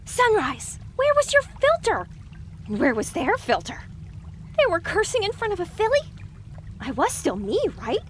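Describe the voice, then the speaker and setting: A very high-pitched young filly, chatty and naive, with a questioning, meek streak. Her delivery swings lively through the audio drama, over faint background noise. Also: moderate pace, heavily acted. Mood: indignant, questioning.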